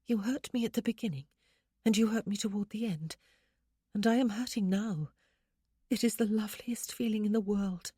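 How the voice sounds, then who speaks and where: A woman voicing a small, elfin young bride who speaks softly and earnestly, at times in a whisper. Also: mid-range voice, normal speed, breathy edge, no echo, studio quality, pitched above the performer's own voice, close to an everyday voice. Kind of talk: audiobook character voice.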